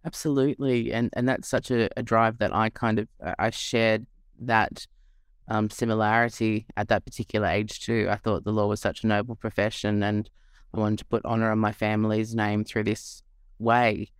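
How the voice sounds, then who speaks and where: A man's voice: on the low side, little pitch movement, moderate pace, clear, resonant tone, no echo, clean studio sound. Earnest man hosting a podcast. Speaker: podcast host. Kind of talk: podcast intro and interview.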